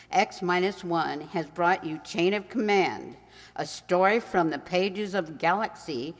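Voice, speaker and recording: Slow, halting woman announcer in a hall. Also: fairly low pitch. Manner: halting, stumbling over names. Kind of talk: radio announcement of closing credits. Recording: reverberant hall, audience noise.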